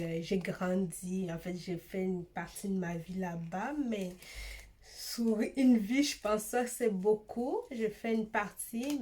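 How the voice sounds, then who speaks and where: A young woman from eastern Congo, Swahili by birth, answers her viewers' questions in a relaxed vlog. She talks at a middle pitch and often stops to search for words, filling the gaps with "euh". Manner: hesitant, many "euh" fillers and restarts. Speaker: hair care YouTuber.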